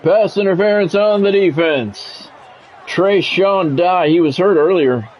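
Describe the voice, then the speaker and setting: A man's voice: high-pitched, measured pace, a little mellow. An American man doing play-by-play commentary on a football game in short, clipped announcer phrases. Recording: dull lo-fi sound, music behind.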